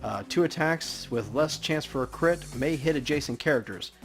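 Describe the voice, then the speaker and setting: A man's voice: a little high, expressive, normal speed. A man hosting a gaming video with casual let's play commentary. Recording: game music behind the voice. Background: faint game music.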